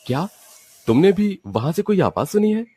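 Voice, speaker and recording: A man's voice: high-pitched, big pitch swings, moderate pace, mellow, husky, no room sound, heavily acted. A man playing a cautious young village husband and father, with a worried manner, in a storybook tale.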